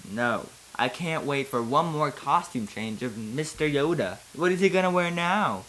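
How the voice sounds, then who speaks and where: A woman's voice: deep voice, expressive, moderate pace, fairly bright tone, slight background noise, poor audio. A woman hosting a comic dramatic reading, with casual commentary and a theatrical, giggly manner. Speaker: video host.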